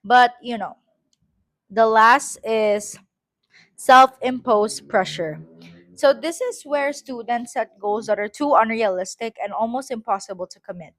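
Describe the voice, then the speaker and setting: A woman's voice: on the high side, a little slow, no room sound. A woman with a Filipino accent reads her own school essay aloud, breaking off for chatty, self-correcting asides.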